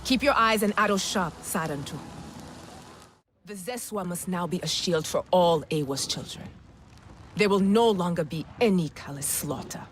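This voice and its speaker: A woman's voice: lowish voice, normal speed, a little hiss or hum, natural voice. A woman playing a stern, commanding alien clan leader in a video game. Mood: resolute.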